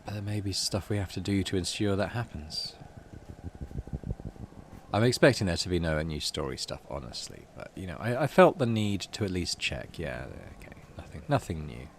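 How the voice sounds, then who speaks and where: A man's voice: deep voice, very animated, moderate pace, a little hiss or hum. A man doing casual game commentary, thinking aloud in a hesitant, rambling way. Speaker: video game let's player.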